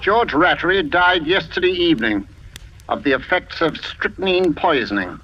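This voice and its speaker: An actor playing a composed police inspector in an old radio drama, formal, deliberate and insinuating. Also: male voice, a little high, lively intonation. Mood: grave, probing. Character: composed, probing police inspector, firm and methodical. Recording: muffled old radio recording, faint noise.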